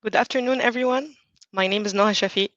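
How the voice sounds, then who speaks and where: A woman in her forties from Egypt, giving a measured, earnest prepared talk as a team coach. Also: mid-range voice, a bit bright, no echo, highs cut off. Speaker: team coach, conference speaker.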